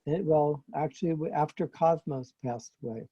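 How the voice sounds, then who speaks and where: A man's voice: fairly high pitch, normal speed, slightly rough, no echo. A man chatting casually over a lo-fi video call. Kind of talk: casual chat.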